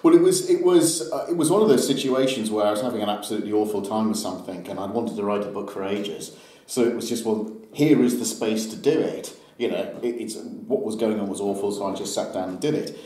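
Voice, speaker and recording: A relaxed man from North East England with a lowish, mellow voice, chatting with hesitant restarts. His voice carries a roomy echo. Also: brisk. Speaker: guest author. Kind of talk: casual conversation.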